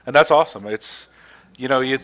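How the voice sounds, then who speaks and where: A man's voice: medium pitch, fairly quick, a bit bright. A man hosting a casual interview, making jokes and asking long, rambling questions. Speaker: show host.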